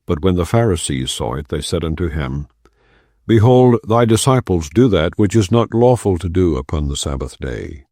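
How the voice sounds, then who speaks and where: Male scripture reader, very low and dark voice, lively inflection, solemn and measured, dry close sound. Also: dry recording. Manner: solemn, measured reading of archaic text. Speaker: Bible reader.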